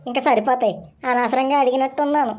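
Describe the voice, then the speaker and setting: A woman's voice: high-pitched, fairly even intonation, fast, a little mellow, slight background noise, lightly acted. A woman voicing a sly, awkward young bachelor in a comic cartoon, talking colloquially with exclamations. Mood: alarmed, exasperated.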